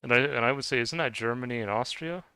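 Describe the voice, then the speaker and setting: A man's voice: medium pitch, fast talker, bright, forceful tone, smooth, no echo, faint music behind. Hesitant man rambling over gameplay. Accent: Scottish-tinged. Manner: hesitant, many restarts and trailing off. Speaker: gaming video creator.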